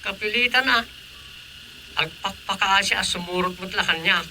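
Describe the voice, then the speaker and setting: Radio comedy lead: exasperated Ilocano village councilor, creaky yet bright, forceful voice, always questioning and exclaiming. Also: male voice, high voice, normal speed, a little hiss or hum, exaggerated voice, low-quality recording. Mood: resigned, exasperated. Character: male village councilor, exasperated comic everyman. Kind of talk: radio comedy-drama acting.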